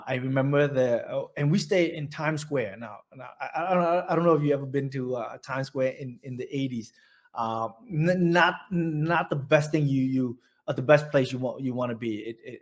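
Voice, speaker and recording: A middle-aged man with a Vietnamese accent recalls his past in an oral history interview. His voice sits at an ordinary pitch for a man. He rambles, restarts his sentences and fills his pauses with "uh". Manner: rambling storytelling with many restarts and fillers.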